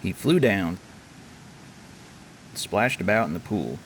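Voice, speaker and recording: A man's voice: low-pitched, lively intonation, takes it slowly, slight background noise, rough recording. A man performing as a storyteller, giving theatrical scripted narration.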